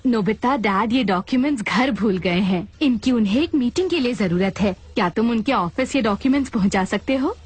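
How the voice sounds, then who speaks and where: A bossy cartoon mother, brisk and sarcastic. The voice is high with a husky rasp, recorded close and dry. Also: expressive, no room sound. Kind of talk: Hindi cartoon dub acting.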